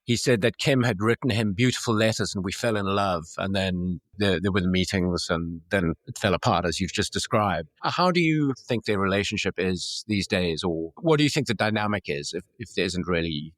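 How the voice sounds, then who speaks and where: A man's voice: low voice, a little fast, hardly any pauses, a bit bright, no echo, clean studio sound. A man hosting a conversational interview, putting questions about current affairs to a guest. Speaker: interviewer, show host.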